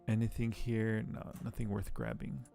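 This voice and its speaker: Gravelly-edged, lower-voiced man livestreaming. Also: flat delivery, normal speed, dark tone, faint music behind. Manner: thinks aloud while playing. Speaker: streamer playing a point-and-click game. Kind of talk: casual livestream chat with read-aloud game text.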